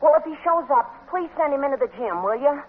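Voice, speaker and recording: Squeaky, eager teenage schoolboy. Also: male voice, animated, fast. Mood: officious. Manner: chirpy, florid, overly formal. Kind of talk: 1950s radio sitcom acting. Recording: tinny, noisy 1950s radio recording.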